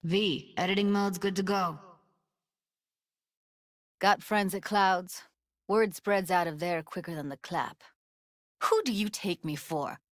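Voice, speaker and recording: A woman's voice: fairly low pitch, takes it slowly, long pauses, dry recording, studio quality. A woman voicing a streetwise, guarded young techie in a video game, blunt and slangy, with an American accent. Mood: guarded, sardonic. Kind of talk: naturalistic video game dialogue.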